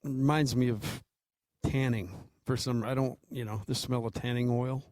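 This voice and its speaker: A man's voice: mid-range voice, fairly even intonation, moderate pace, darkish tone, dry recording. A man with an American accent and a mid-range voice talks as a sports radio co-host. He is informal and jokey, and the studio sound is very dry. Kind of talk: casual sports talk banter.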